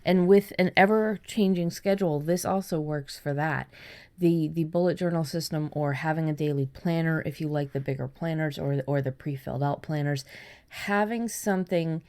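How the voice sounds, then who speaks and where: A woman's voice: low-pitched, a little mellow. A woman hosting a podcast, giving conversational advice in an explanatory, encouraging way. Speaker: podcast host.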